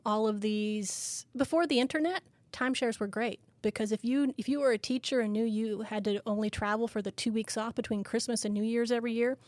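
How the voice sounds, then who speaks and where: A woman's voice: fairly high pitch, dry recording. Canadian-raised woman on a podcast, talks a lot, laughs a lot, dry close sound. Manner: chatty, animated storyteller who laughs often. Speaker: podcast co-host. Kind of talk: casual chat.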